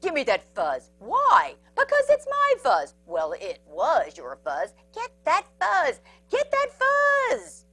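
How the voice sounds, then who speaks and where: A woman voicing a crowd of excitable, squabbling storybook prairie dogs, exclaiming and chanting. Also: lowish voice, unhurried, few pauses, breathy edge, no echo, pitched below the performer's own voice, exaggerated voice. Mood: angry squabbling.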